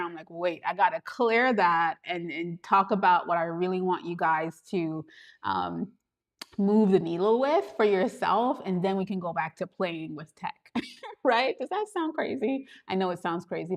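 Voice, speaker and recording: A woman's voice: fairly low pitch, lively intonation, moderate pace. A woman with a Trinidadian accent chatting live with viewers as a YouTube coach, bubbly and laughing often, recorded on a small handheld camera. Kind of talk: casual livestream chat with viewers. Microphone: handheld gimbal camera.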